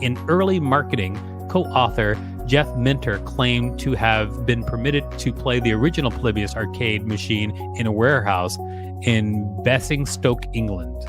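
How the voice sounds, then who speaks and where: A man reads an encyclopedia entry aloud as a podcast co-host, in a plain, even delivery. His voice sits at an ordinary middle pitch. Background music plays clearly under him. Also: moderate pace. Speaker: podcast co-host reading an article. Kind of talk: reading an encyclopedia article aloud.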